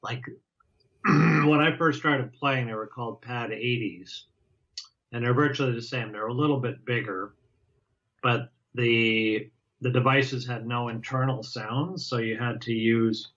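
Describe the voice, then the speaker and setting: A man talking casually as an interview guest, halting and restarting often as he explains how he plays drums. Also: mid-range voice, fairly even intonation, a bit bright, muffled recording. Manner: halting, many restarts and fillers. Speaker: guest drummer.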